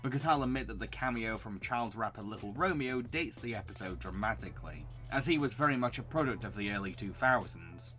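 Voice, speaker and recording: Bright-voiced man narrating a video review. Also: lowish voice, lively intonation, a little fast, hardly any pauses, soft background music.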